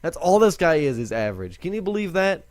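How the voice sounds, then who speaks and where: A young man gives chatty, rambling commentary while he plays a game, often stopping to correct himself. His voice sits a little high for a man and swoops up and down a lot, though he says it is lower than usual today. The sound is close and dry. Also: sing-song, a little fast, no echo. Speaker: gaming video host. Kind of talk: casual let's-play commentary.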